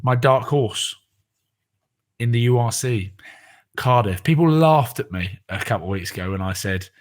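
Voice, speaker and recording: A man co-hosting a rugby podcast, talking casually in a relaxed, rambling way, with many fillers and trailing thoughts. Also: mid-range voice, normal speed. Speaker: podcast co-host.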